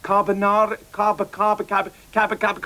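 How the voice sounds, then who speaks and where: A man playing a flustered, excitable restaurateur in a children's slapstick comedy, exasperated and repeating himself. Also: high voice, a little slow. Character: flustered, excitable male restaurateur posing as Italian waiter.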